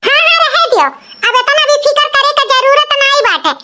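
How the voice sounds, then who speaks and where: A man's voice: very high voice, very animated, fairly quick, noisy background. A man voicing a vain, jealous young village woman in a comic cartoon, with an Awadhi accent and a nagging, taunting manner. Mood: spiteful, scolding. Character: vain, jealous, scolding young village sister-in-law, a mother.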